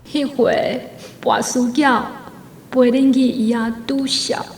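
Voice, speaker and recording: A woman's voice: high-pitched, fairly even intonation, slow pace. A woman playing a stern mother admonishing her child in a radio drama. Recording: echoey hall, off the mic.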